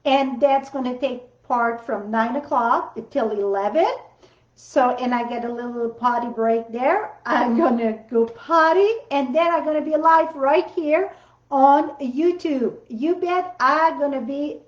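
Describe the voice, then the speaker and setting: Chatty french-accented lady doing a live craft demo, slow pace, a bit muffled. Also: high voice. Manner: chatty, rambling, loose non-native grammar. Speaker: card-making livestream host. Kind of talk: casual live demo chat. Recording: roomy, slightly dull sound.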